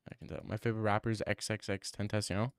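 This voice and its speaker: A man's voice: on the low side, little pitch movement, fast talker, clean tone, no room sound, studio quality. A young man streaming and answering viewer comments in a casual, teasing way, full of mock bravado.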